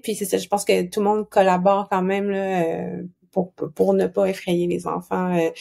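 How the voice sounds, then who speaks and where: A woman's voice: mid-range voice, gravelly, a little mellow. A woman with a Québécois French accent, a guest author chatting casually on a podcast and laughing between phrases. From Quebec.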